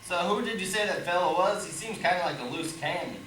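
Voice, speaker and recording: An actor playing a brash, naive American with an American accent, folksy and blunt, in a stage play recorded in an echoey room from a distance. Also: male voice, high-pitched, a little fast, fairly bright tone. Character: brash, naive American cowboy-type guy Woody. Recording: echoey room from far off, hissy.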